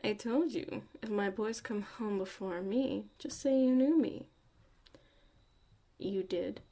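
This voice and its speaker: A woman's voice: medium pitch, slow, warm tone. A woman acting a tough but caring witch mother in an ASMR roleplay, muttering and often dropping to a whisper. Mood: teasing, casual.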